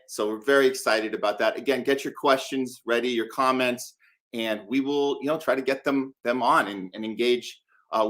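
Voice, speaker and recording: A man with a mid-pitched voice hosts a live show. He talks conversationally and without a script, hesitating between phrases as he introduces things. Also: fairly bright tone. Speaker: show host.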